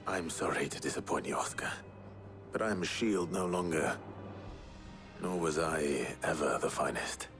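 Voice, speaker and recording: A man playing a weary, brooding outlaw lord in a video game drama, speaking gravely and with restraint. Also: extremely low pitch, music in the background. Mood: grave, reserved. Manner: grave, measured, understated.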